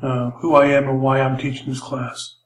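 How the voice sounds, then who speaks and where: Older male ex-cop instructor, monotone and unpolished, recorded in a roomy space. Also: medium pitch, slight background noise. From Los Angeles, USA. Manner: plain, halting, many fillers and restarts. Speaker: retired police officer teaching a class. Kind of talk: casual spoken lecture intro.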